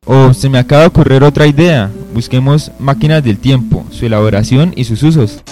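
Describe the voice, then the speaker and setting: A man's voice: mid-range voice, a little fast, warm tone, soft background music, close to an everyday voice. A young man acting as an eager schemer full of odd ideas in an amateur radio drama. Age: young adult.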